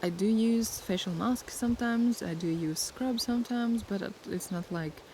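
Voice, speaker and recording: Soft woman with vocal fry. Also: medium pitch. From Latvia. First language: Latvian. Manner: soft, unhurried and thoughtful, pausing to search for words. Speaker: ASMR creator. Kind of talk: casual ASMR Q&A chat. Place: outdoors by the sea at sunset. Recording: steady sea noise behind. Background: sea waves.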